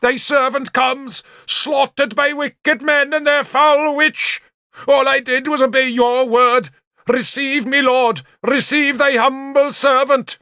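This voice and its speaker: A man's voice: sits high, measured pace, a bit bright, no room sound, clean studio sound, voice pushed up. A man voicing an indignant, pleading, melodramatic bishop in an audiobook story. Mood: theatrical martyrdom. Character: shrill, sly, hysterically pious male bishop.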